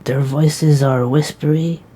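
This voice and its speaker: A woman's voice: sits low, fairly even intonation, slow, warm tone, slightly rough, full character voice. A woman playing a sleepy, protective young knight who talks in a drowsy, affectionate way. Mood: tired. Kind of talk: casual roleplay dialogue.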